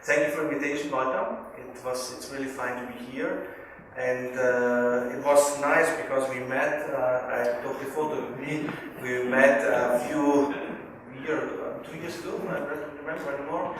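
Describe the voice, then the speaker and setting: A man in his forties from northern Italy, a native German speaker with a South Tyrolean German accent, gives a seminar presentation in English, haltingly searching for words and checking with his listeners. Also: mid-range voice, fairly even intonation. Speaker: guest speaker, business consultant. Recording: echoey hall, distant mic, faint noise.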